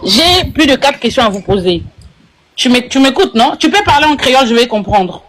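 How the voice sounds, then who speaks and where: A woman's voice: high voice, lively intonation, brisk, a bit bright, poor audio. A woman from Congo, calling into a live stream and speaking earnestly, repeating words for emphasis. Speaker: caller on a livestream.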